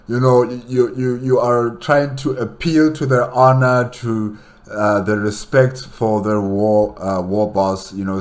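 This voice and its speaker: A man hosting a casual reaction commentary, talking in a halting, repetitive, conversational way. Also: medium pitch. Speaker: host reacting to a video.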